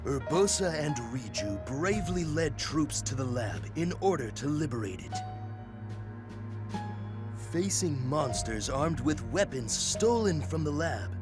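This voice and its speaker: A man narrating a video game story in a formal, solemn, scripted manner with measured phrasing. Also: on the high side, very animated. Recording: music behind the narration.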